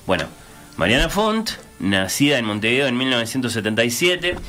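A man's voice: medium pitch, sing-song, fairly quick, pushed and bright, faint music behind. A man with a Uruguayan accent hosting a radio interview, hesitant with many filler sounds and restarts.

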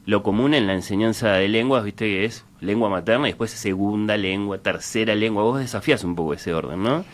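A man with a Rioplatense accent conducting a literary interview, phrasing his questions tentatively with pauses. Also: fairly low pitch.

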